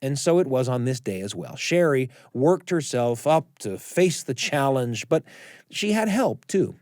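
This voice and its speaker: A man narrating a scripted story as a host, using dramatic pauses to build suspense. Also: mid-range voice, big pitch swings, a little mellow, dry recording, studio quality.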